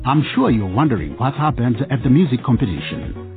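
A man's voice: mid-range voice, big pitch swings, fairly quick. A man narrating a scripted radio drama, addressing listeners directly as its presenter. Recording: phone-like sound with music behind. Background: music.

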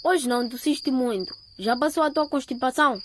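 A woman's voice: sits high, fairly quick, fairly bright tone. A woman playing a young boy, blunt and caring, in a radio drama. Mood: concerned. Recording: noisy track, chirping insects.

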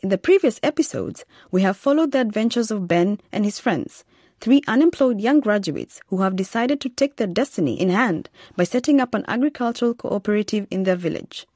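A woman presents a radio series as scripted narration. Her medium-pitched voice moves with lots of expression, recorded very dry. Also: animated, a little fast, a little mellow, no room sound.

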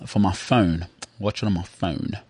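Man, podcast co-host, jokey, husky voice, dry sound. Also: low-pitched, steady tone, measured pace, a little mellow, no room sound. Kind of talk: casual chat.

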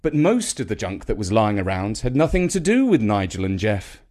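A man with a British accent narrating a scripted children's story in a measured, expressive storytelling manner. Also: fairly low pitch.

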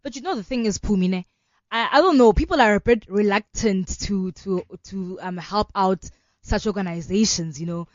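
A woman's voice: mid-range voice, normal speed, a little mellow, dry recording, muffled recording. A woman in her twenties with a South African accent, talking earnestly in a radio interview and filling her talk with "you know". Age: 20s. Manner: earnest, fluent, many fillers like "you know". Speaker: law student and organization founder.

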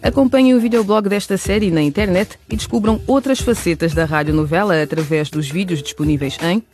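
A man's voice: high-pitched, a little fast, a little mellow. A man reading scripted narration and announcements for a radio drama. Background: music.

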